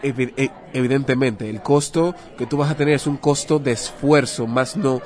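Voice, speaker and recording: A man's voice: mid-range voice, normal speed, a little mellow. A man with a Dominican Spanish accent explaining as an interview guest, in a didactic way with rhetorical questions and examples. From Dominican Republic. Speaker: guest, personal finance adviser.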